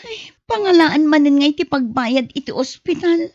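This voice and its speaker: A woman's voice: high voice, expressive, normal speed, a little mellow, no room sound. A woman acting a weary, fed-up young wife and mother in an Ilocano radio drama, plaintive in manner. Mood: dismayed, weary. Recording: muffled dry close recording.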